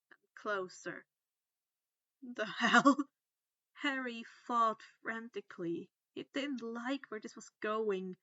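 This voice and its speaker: A woman reading a story aloud as a dramatic narrator, expressive and stretching words for effect. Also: on the high side. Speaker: fan fiction narrator. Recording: dull, echoing home recording.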